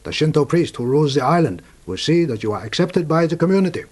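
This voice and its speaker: An actor playing a courteous official and interpreter, formal, deferential and slightly hesitant, in a radio drama. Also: male voice, a little high, sing-song, fast talker, a little mellow, slightly rough. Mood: courteous, helpful.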